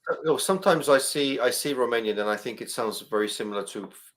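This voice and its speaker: A man's voice: mid-range voice, fast talker, fairly bright tone. A man in his fifties hosting a livestream chat, upbeat and joking as he questions his guests. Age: 50s. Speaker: host.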